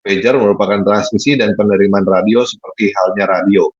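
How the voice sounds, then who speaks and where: A man with a low, husky voice reads scripted news as narrator. The recording is dull and lo-fi, with some room echo. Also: fairly even intonation, a little fast.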